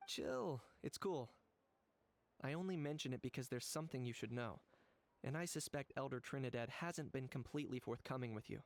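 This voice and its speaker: A man's voice: mid-range voice, normal speed, lightly acted. A man voicing a cool, blunt young warrior in a video game, speaking in a direct, unruffled, matter-of-fact way. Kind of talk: video game character dialogue.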